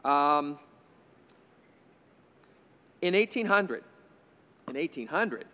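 Casting: man in his 50s, slightly high voice, quiz moderator, reads questions briskly with playful banter; long hall reverb. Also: sing-song, pushed and bright. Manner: chatty, jokey asides, chuckles at his own remarks. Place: indoor TV studio stage. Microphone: desk microphone on a stand.

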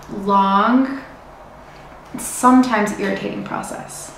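Young american woman vlogging, chatty and explaining stuff, bit of vocal fry, super echoey room. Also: mid-range voice. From United States. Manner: chatty and informative, with fillers. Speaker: vlogger, psychedelic research student. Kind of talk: casual talk to camera. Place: bright room indoors. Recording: very echoey room, faint hiss.

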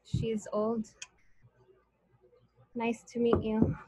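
A woman in her twenties from West Texas introducing herself on a video call, calm and unhurried. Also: fairly high pitch, breathy edge, dry recording, low-quality recording. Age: 20s. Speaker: participant. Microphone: laptop webcam on video call.

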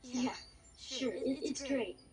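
A woman's voice: high voice, takes it slowly, darkish tone. A woman voicing a timid, anxious little boy fish in a cartoon fan dub, hesitant and stammering as he bluffs bravery. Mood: nervous bluffing. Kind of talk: fan dub of an animated film. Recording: echoey, distant amateur dub over music. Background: film music.

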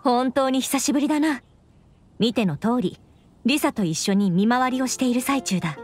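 A woman's voice: a little high, lively intonation, normal speed, darkish tone. A woman voicing a stern, dutiful young knight commander in an anime game, speaking formally and firmly. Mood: composed.

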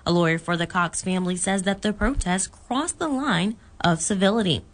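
A woman's voice: medium pitch, normal speed. An American woman working as a radio news anchor, reading scripted bulletins.

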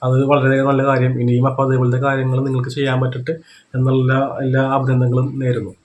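A man with a Kerala accent talks through his reactions off the cuff. His delivery is very quick and stays on a fairly flat pitch. He explains as he goes, often checking that the listener has understood. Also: dull audio. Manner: explanatory, repeats check-in tag questions. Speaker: reaction video commentator. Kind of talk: unscripted reaction commentary.

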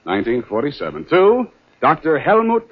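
A breezy, wisecracking American private detective in a 1940s radio drama. His delivery is lively and deadpan at once, teasing and flippant. The recording is old and muffled. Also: on the high side, normal speed, slightly rough, exaggerated voice. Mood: businesslike, wry. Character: wry, breezy hard-boiled private detective, adult man.

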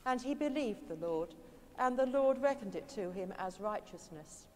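A woman reading scripture aloud at a church service in a large stone cathedral, slow, measured and solemn. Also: medium pitch, expressive, darkish tone, slight background noise. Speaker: scripture reader. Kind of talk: liturgical Bible reading. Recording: long cathedral reverb.

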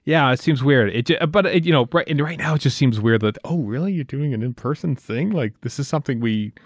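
A man's voice: mid-range voice, brisk, no echo. Man in casual podcast chat, close dry sound. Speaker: podcast co-host.

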